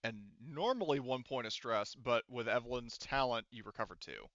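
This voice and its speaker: A man acting as game master, casually running a tabletop roleplaying game in a halting, informal way, thinking aloud. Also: medium pitch, expressive, fairly bright tone, no room sound, highs cut off.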